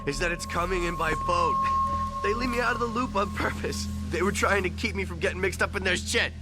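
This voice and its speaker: An actor playing a frightened, defensive tattoo artist pleading for himself in a crime video game. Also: male voice, high voice, fairly quick, loud music behind the voice, natural voice. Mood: desperate pleading. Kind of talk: video game cutscene acting.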